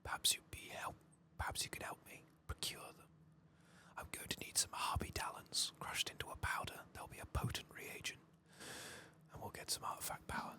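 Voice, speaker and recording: A soft-spoken man murmuring unhurried ASMR commentary over a game, often dropping into a whisper. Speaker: ASMR gaming host.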